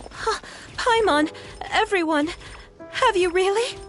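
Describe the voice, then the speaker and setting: A woman's voice: extremely high pitch, takes it slowly, fairly bright tone, faint music behind, exaggerated voice. A woman playing a devoted, fragile mother in a video game, emotional and wistful in her delivery. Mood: overjoyed. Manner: tender, emotional, wistful, repeating words.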